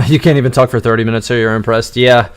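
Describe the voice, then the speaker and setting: A man hosting a live-stream Q&A, answering chat questions in an exuberant, radio-like way and enunciating words crisply despite quick restarts. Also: mid-range voice, fairly even intonation. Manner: talks fast with frequent restarts and laughs.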